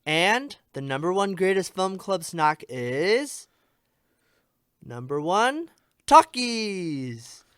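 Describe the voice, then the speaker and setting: A man's voice: high-pitched, big pitch swings, extremely slow, fairly bright tone, clear, resonant tone, no room sound. A young man hosting a scripted comedy countdown in a radio studio. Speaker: host.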